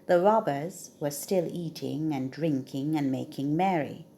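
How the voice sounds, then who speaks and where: A woman reading a children's story aloud with expressive, dramatic emphasis. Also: low-pitched, unhurried, a little hiss or hum.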